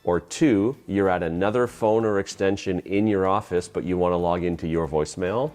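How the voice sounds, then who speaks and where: Low-voiced man giving a tutorial. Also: normal speed, darkish tone. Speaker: presenter of a tutorial. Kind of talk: instructional explanation of phone system use.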